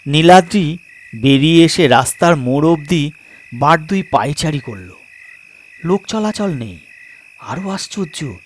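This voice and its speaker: Slow, expressive male storyteller, lively pitch. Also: slight background noise. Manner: theatrical, suspenseful pauses. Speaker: storyteller and channel host. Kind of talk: dramatic scripted comic story narration.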